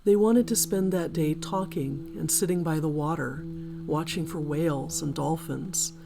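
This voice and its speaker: A woman presenting a scripted narration in a measured, explanatory manner. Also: deep voice, creaky. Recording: guitar music behind the voice. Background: plucked guitar music.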